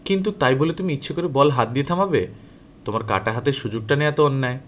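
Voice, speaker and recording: A man's voice: medium pitch, quick pace, slight background noise, lightly acted. A man reading the part of a fair-minded older neighbourhood brother who questions and admonishes in a storybook. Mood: admonishing. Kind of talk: storybook character voice.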